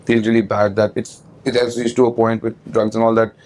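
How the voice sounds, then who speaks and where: A man's voice: on the low side, flat delivery, darkish tone. A young man from India with an Indian accent, a touring band singer, talking loosely and frankly as a guest in a casual interview. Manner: chatty, loose and frank, with quick asides. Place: outdoors at a hot festival.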